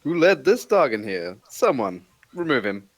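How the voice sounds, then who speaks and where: A man's voice: mid-range voice, sing-song, normal speed, dry recording. A man playing an ancient, regal fairy king in a table read, imperious and formal. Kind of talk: scripted drama table read.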